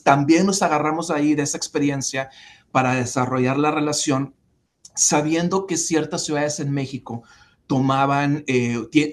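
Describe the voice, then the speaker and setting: A man from Mexico in his forties, giving long, measured interview answers full of fillers and restarts. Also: medium pitch. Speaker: interview guest.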